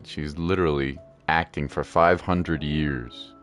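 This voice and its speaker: A man's voice: very deep voice, fairly even intonation, measured pace, a bit bright. A man casually reacting to a video game as he streams, informal and sympathetic, with chatty asides. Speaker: gaming streamer. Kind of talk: casual reactions while playing. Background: game music.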